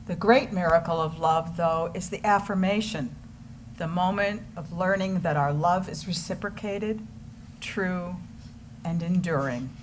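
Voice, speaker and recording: A woman's voice: lowish voice, measured pace, slight background noise, low-quality recording. A woman reading scripted narration aloud as a readers theater narrator.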